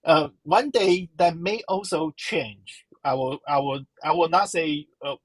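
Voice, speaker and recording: A slow-spoken woman gives interview answers in a husky voice. She halts often and fills the gaps while she searches for her words. The sound is dry and close. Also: low-pitched, dry recording. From Taiwan. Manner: halting, searching for words, many fillers. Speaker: professor and guest.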